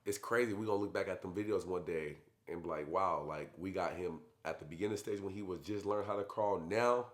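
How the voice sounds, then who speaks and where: A young man and father chatting casually in a family vlog, hoarse from being sick, talking in a laid-back, slangy way. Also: low-pitched, brisk. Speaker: vlogger, father. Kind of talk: casual chat.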